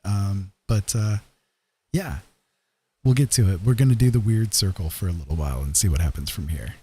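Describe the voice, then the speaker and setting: Low, husky, mellow male streamer. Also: moderate pace. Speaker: streamer host. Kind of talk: casual rambling chat.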